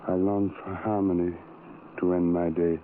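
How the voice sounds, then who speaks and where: An actor playing a sorrowful, self-doubting outcast in an old-time radio drama, halting and brooding with heavy pauses. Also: male voice, deep voice, flat delivery, lightly acted. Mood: mournful, longing. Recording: tinny, noisy old radio recording.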